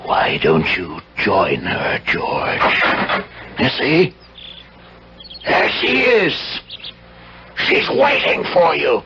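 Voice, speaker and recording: An actor playing a coldly menacing, vengeful widower with deliberate, theatrical delivery in a 1970s radio drama. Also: male voice, medium pitch, wildly swooping pitch, creaky, full character voice. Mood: menacing, goading. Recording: dull old radio recording, faint noise.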